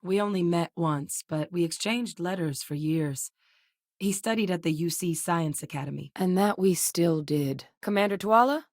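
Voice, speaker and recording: Earnest game scientist, voiced by a woman: low, measured, candid, dry studio read. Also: darkish tone, dry recording, lightly acted. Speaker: game character. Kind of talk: video game dialogue.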